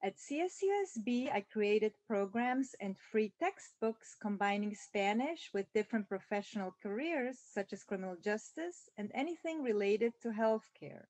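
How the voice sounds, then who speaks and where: A woman's voice: medium pitch, lively intonation, normal speed, hardly any pauses, a little mellow, no echo. A woman professor from Switzerland introducing herself in a friendly, welcoming way over a video call. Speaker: Spanish and linguistics professor. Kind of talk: faculty self-introduction. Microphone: video call webcam.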